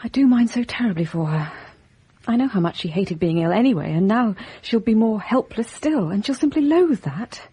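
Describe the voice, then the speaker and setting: A woman's voice: mid-range voice, lively intonation, normal speed, dark tone, husky, no room sound. An actress playing an anxious, well-bred young English woman in a radio drama, earnest in manner. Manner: earnest, upper-class phrasing.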